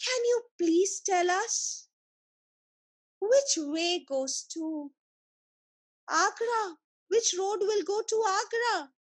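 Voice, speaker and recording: A woman voicing an imperious, quick-tempered male emperor in a folk tale, commanding and formal. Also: very high voice, expressive, voice pushed up, full character voice. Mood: questioning.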